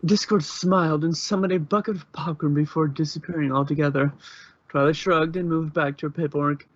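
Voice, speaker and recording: A man narrates a fanfiction story in an informal reading style. His voice sits on the high side for a man. The recording is slightly dull and lo-fi. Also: steady tone, a little fast.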